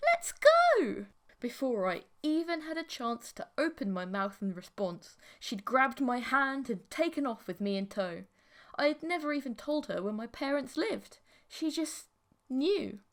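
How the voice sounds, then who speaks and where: High-voiced woman narrating a story. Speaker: audiobook narrator. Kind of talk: scripted first-person story narration.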